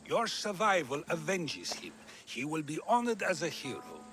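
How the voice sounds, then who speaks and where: A wise, questioning philosopher. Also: male voice, on the high side, wildly swooping pitch, normal speed, a bit bright, faint music behind. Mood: calm. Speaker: game character. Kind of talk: video game cutscene acting.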